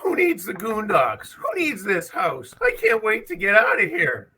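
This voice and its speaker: A man's voice: high voice, huge pitch swings, unhurried, bright, forceful tone, a little hiss or hum, full character voice. A man reading the part of a bored, wistful thirteen-year-old boy in a script table read. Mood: frustrated.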